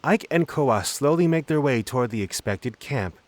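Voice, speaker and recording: A man's voice: mid-range voice, lively intonation, moderate pace, dry recording. A man narrating a scripted fantasy story in the third person as its narrator.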